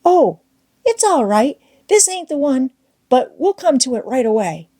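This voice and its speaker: Woman voicing a folksy, high-pitched young boy. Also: big pitch swings, a little slow, dark tone, full character voice. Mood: forced cheer. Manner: folksy, rustic wording. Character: adventurous young boy, reassuring but uneasy. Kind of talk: children's novel read aloud.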